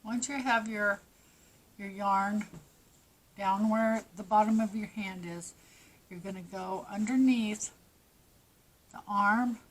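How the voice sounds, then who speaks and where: A woman's voice: medium pitch, steady tone, takes it slowly, pauses often, rough recording. A woman with a stuffy nose and a fading voice, giving plain, practical step-by-step crochet instructions. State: stuffy nose, losing her voice. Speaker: crochet teacher. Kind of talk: step-by-step craft tutorial.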